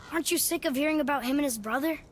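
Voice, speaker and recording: A high, youthful voice of a brash boy who thinks himself a god, in a video game. He is defiant and petulant, with clear noise behind him. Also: racing. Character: cocky, petulant young demigod boy. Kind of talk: video game character acting.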